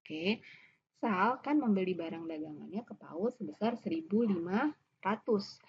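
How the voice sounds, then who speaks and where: A woman's voice: mid-range voice, expressive, measured pace. A woman with an Indonesian accent giving a step-by-step accounting lesson as a lecturer, in a didactic way, often posing questions and answering them herself. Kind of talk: explanatory lesson, walking through worked examples. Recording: dull lo-fi audio with clear background noise.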